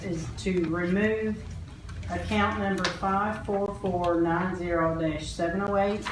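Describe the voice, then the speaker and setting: A woman working as a meeting clerk, confirming and reading back motions. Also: lowish voice. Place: indoor public meeting room. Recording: very echoey room, distant mic, noisy.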